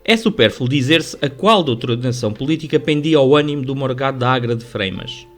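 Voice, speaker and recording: A man with a European Portuguese accent giving formal, measured, scripted literary narration for a radio drama. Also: mid-range voice, expressive. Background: music under the voice.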